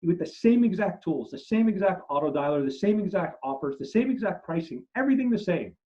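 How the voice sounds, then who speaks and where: A middle-aged man whose first language is German, answering interview questions as a marketing expert and telling stories with many restarts, trailing low at the end of phrases. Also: fairly quick. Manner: animated storyteller, many restarts, drops low at phrase ends. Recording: muffled lo-fi audio.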